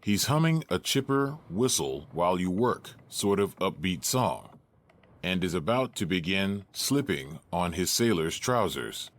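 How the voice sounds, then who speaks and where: A man reading scripted stage directions as narrator, measured and matter-of-fact. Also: sits low, big pitch swings.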